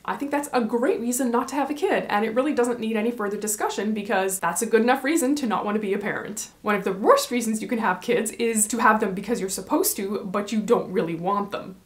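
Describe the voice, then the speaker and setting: A woman's voice: on the high side, fast talker, few pauses. A young woman from Canada talking casually to camera as a vlogger, chatty and opinionated as she explains numbers.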